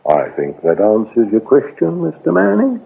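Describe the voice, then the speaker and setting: Sardonic british detective in old radio drama, husky, accusing, phone-like muffled sound. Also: male voice, mid-range voice, huge pitch swings, full character voice. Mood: scornful. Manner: sardonic, accusing, deliberate interrogation. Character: shrewd, scornful male police inspector exposing lies. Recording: lo-fi, narrow-band radio drama recording.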